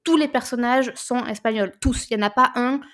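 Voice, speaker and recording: A woman, co-hosting a casual book podcast, animated and often repeating words as she searches for them. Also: on the high side, a little fast. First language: French. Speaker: podcast co-host.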